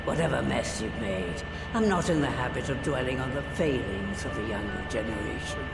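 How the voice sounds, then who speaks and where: A woman's voice: rumbling low, expressive, moderate pace, vocal fry, full character voice. A woman voicing a crotchety, brusque old sorceress in a video game. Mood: gruff, dry. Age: older. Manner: brusque, impatient, sardonic. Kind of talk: video game cutscene acting. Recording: roomy echo, music behind.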